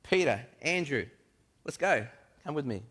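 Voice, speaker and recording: A man voicing a calm, gentle man who calls followers to join him, speaking softly and patiently in a dramatic monologue. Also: fairly high pitch, a little slow, breathy edge, in a large hall, pitched above the performer's own voice, heavily acted. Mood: summoning. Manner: soft, patient, reassuring. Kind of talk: dramatic first-person monologue.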